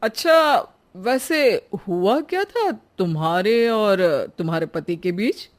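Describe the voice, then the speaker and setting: A woman's voice: medium pitch, expressive, slow. A woman voicing an awkward adult brother with a hesitant, hedging manner in a cartoon family story.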